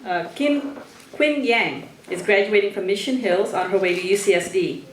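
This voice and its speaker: A woman's voice: mid-range voice, moderate pace. A woman presenting awards at a ceremony, reading out short introductions of scholars in a hall. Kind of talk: semi-scripted announcing of scholars. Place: large hall with an audience. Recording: echoey hall, distant mic, faint noise.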